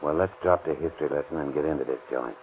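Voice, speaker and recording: A nervous low-voiced sidekick crook. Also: male voice, fast talker. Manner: uneasy, questioning. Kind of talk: 1930s radio acting. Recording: dull old radio recording, faint hiss.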